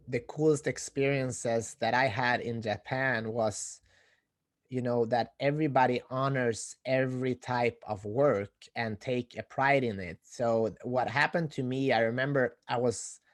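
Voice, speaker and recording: Podcast host, man, mid-range voice, halting storyteller who repeats words, dry close recording. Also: mid-range voice, dry recording. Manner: halting, repeats words while telling stories. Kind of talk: casual interview conversation.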